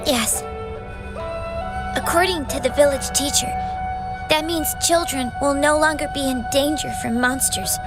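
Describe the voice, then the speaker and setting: A woman voicing an earnest, plucky little girl in an anime game, with halting childlike speech. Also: sits high, over loud music. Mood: hopeful, determined.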